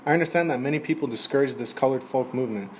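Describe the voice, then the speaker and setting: A man's voice: medium pitch, very fast, close to an everyday voice. A man playing a polite, enthusiastic aspiring musician in a 1920s radio interview. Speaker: musician guest. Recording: noisy amateur recording.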